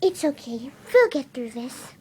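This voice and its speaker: A woman voicing a timid, gentle, motherly pegasus pony with a nervous, hesitant stammer in a story reading. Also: high-pitched, big pitch swings, a little slow, slight background noise. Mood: reassuring. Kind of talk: fan fiction dramatic reading.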